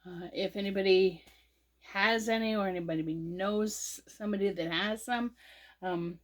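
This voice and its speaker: A woman hosting a casual crochet tutorial. She sounds sniffly from a cold and chats slowly, with lots of "um" and "uh" between steps. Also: medium pitch. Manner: slow, chatty, with many fillers and pauses. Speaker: crochet channel host.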